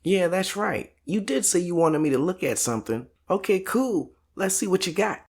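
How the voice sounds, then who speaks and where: A man's voice: high-pitched, animated, normal speed, slightly rough, heavily acted. A man playing a curious, agreeable computer whiz in an audio story, casual and eager. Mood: intrigued. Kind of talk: dramatic audio story acting.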